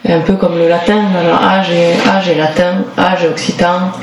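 A woman's voice: on the low side, flat delivery, normal speed. Hesitant woman talking in an echoey noisy room. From Toulouse area, southern France. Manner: thoughtful, hesitant, many restarts and fillers. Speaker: singer and musician interviewed. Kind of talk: casual conversational interview. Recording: roomy echo with clear background noise.

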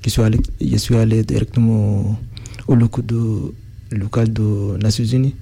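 Gravelly, husky man speaking haltingly. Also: lowish voice, level tone, dark tone. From Sudan. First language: Arabic. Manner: searches for words, frequent restarts. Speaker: interviewee. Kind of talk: halting interview answers.

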